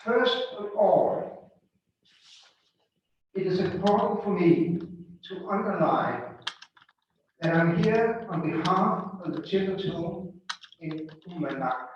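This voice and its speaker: An older Danish man with a fairly high voice for a man, reading his lecture slowly and carefully. His words come from far off the mic in a very echoey museum hall, and the sound is dull. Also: a little hiss or hum. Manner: slow and careful, reading closely from a manuscript. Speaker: guest lecturer.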